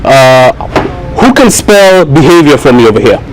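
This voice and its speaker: A young man from Kenya who grew up in Kenya, talking to a high school class in a joking way, laughing often. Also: big pitch swings, moderate pace, slight background noise, poor audio. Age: young adult. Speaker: student speaker at a school. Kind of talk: classroom talk.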